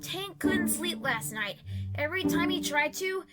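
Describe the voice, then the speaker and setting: A woman's voice: extremely high pitch, expressive, measured pace. A woman voicing a brash young female pegasus pony, impatient and boastful, in a fan audio drama. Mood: flustered. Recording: music under the voice.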